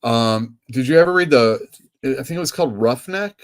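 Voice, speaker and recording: Man, comic shop host, casual chat, relaxed, trailing sentences, mid pitch. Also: moderate pace. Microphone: streaming setup microphone.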